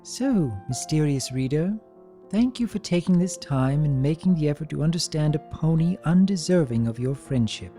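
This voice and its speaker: A performer voicing a refined, sincere young female pony reading out a diary in a genteel, earnest, measured way. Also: mid-range voice, animated, dark tone, soft background music, lightly acted. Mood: earnest, wistful. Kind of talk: dramatic reading of diary entries.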